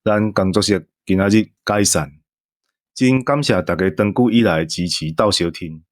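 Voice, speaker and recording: A man's voice: fairly low pitch, normal speed, dry recording, studio quality. A man with a Taiwanese accent co-hosting a show in light banter with listeners and a partner. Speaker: co-host.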